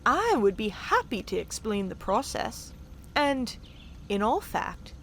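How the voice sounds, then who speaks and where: Woman playing courtly dignitary with creaky voice. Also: on the high side, big pitch swings, slow, a bit bright, exaggerated voice. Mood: gracious, assured. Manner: formal, courtly, assured. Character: gracious, self-assured female alien dignitary, scholarly guide. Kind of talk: sci-fi audio drama acting. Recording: faint background noise.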